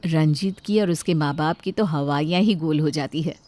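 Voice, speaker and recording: A woman narrates a scripted moral tale. Her voice is on the lower side, and she tells the events as a storyteller. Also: normal speed, darkish tone, faint music behind.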